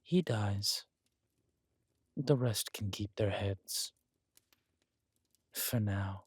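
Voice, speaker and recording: A man playing a regal, wry, menacing villain king in a roleplay audio drama, speaking in a measured, intimate, smugly theatrical way. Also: on the low side, husky, dry recording, full character voice. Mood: cold, menacing.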